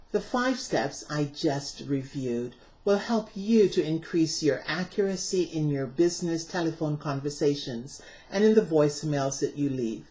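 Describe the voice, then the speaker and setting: A middle-aged woman teacher narrating a scripted lesson, enunciating carefully and stressing key words. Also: low voice. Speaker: English teacher and presenter.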